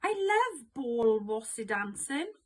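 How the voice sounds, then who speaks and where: A woman's voice: on the high side, very animated, takes it slowly, full character voice. A woman voicing a grumpy, whiny young boy who protests and pleads, in a storybook reading. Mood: pleading, whiny.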